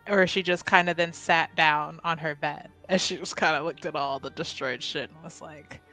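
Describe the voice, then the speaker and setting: A woman's voice: low voice, fairly even intonation, normal speed, bright, forceful tone, faint music behind. A woman playing a tabletop roleplaying game, casually improvising narration about her character.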